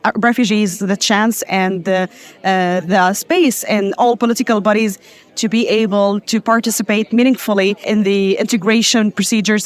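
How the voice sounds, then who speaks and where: A woman from Palestine giving an earnest interview account at a conference, sometimes restarting her sentences. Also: medium pitch. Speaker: conference participant. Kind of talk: interview testimony.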